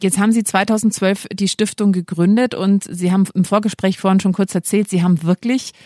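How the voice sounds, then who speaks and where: A woman's voice: medium pitch, steady tone, fairly quick, warm tone, no echo. Female podcast-style interviewer, mid-range voice, informal and enthusiastic, dry recording. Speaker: interview host. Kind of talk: conversational interviewing.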